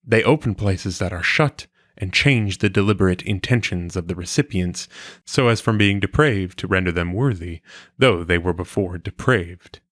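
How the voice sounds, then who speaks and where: A man reading an old philosophical text aloud in a measured, formal manner, with clear phrasing, like an audiobook. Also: low-pitched, a bit bright, dry recording, clean studio sound.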